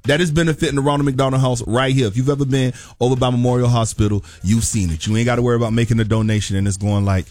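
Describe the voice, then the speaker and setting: A man's voice: medium pitch, steady tone, racing, hardly any pauses, a little mellow. A man hosting a radio show, upbeat and slangy, playful as he introduces his guest. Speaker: radio host. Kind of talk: radio talk.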